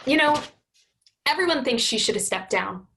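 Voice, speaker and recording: A woman's voice: sits high, lively intonation, normal speed, gravelly, pushed and bright, full character voice. A woman playing a frustrated, resentful grown daughter in a staged reading of a play. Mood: angry, sarcastic.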